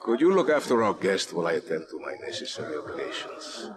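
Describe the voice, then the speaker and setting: Suave older colonel, formal courtesy, lively melodic delivery, party chatter behind. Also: male voice, sits low. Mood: courtly. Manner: formal, courteous, measured wording. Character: suave, courtly retired Latin colonel and crime host. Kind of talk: video game acting.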